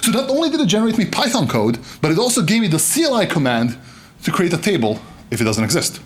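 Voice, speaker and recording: Man in his thirties, keynote speaker; voice a little high, very lively delivery, jokes with the crowd. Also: quick pace. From Serbia. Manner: animated, joking, gestures broadly. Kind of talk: conference keynote talk. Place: conference stage, indoors.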